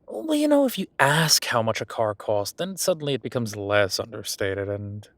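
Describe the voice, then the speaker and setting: A man's voice: lowish voice, big pitch swings, normal speed, no echo. A man playing a friendly, self-deprecating young billionaire in a casual, intimate audio roleplay, rambling informally and playfully defensive as he talks to the listener.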